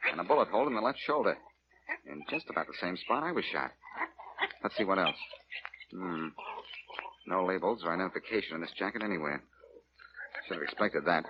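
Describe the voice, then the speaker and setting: A man's voice: sits low, lively intonation. A man playing a wisecracking, self-assured amateur detective with a cool, wry manner in old-time radio drama. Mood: wry, musing. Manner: wry, cool, quick with quips. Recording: noisy, muffled old radio recording.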